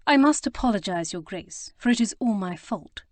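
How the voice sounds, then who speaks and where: Woman voicing a flustered young gentlewoman. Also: medium pitch, expressive, moderate pace, dry recording, lightly acted. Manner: breathless, stammering, eager to explain. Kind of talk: audiobook character reading.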